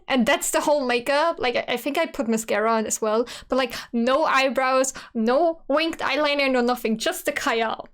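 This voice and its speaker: A woman's voice: high-pitched, a bit bright. A woman in her twenties with a German accent, chatting casually as a streamer reacting to her old video, full of "like" and frequent laughs. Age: 20s. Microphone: USB studio microphone.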